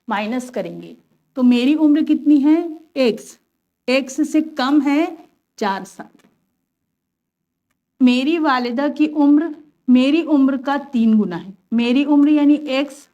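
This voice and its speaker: Woman, maths teacher, patient, step-by-step explanation, slightly high voice. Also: mellow, highs cut off. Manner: patient, step-by-step, asks and answers her own questions. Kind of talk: classroom lesson, explaining worked examples.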